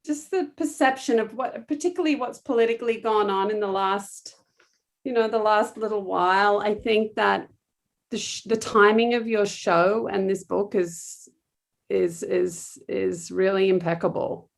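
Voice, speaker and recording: Australian woman interviewer, a little fry in the voice, easygoing and rambling. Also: mid-range voice, fairly even intonation, a little slow. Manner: rambling, hedging with "kind of" and "like". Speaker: interviewer, cookbook author. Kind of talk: conversational interview.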